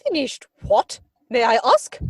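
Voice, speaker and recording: A woman's voice: on the high side, huge pitch swings, measured pace, breathy edge, dry recording, studio quality, full character voice. A woman playing a haughty, disapproving middle-aged aristocratic mother in a theatrical period comedy, pompous and commanding. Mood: indignant, commanding.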